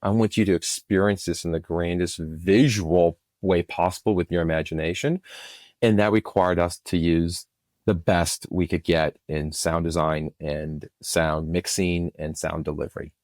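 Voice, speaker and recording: A man's voice: deep voice, fairly even intonation, a little mellow, no echo, clean studio sound. A man giving enthusiastic explanations as an interview guest, often restarting his phrases as he goes. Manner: enthusiastic, halting with repeated starts. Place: remote recording over internet software.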